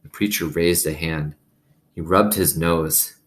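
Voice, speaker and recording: A man with a low-pitched voice reads a children's novel aloud. He narrates expressively, as a storyteller would for young listeners. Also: fairly even intonation, measured pace.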